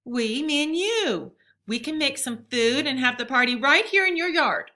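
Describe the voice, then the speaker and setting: A woman's voice: high voice, lively intonation, moderate pace, pushed and bright, voice pushed up. A woman reading a storybook as an eager, pleading young girl with folksy, childlike phrasing. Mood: excited.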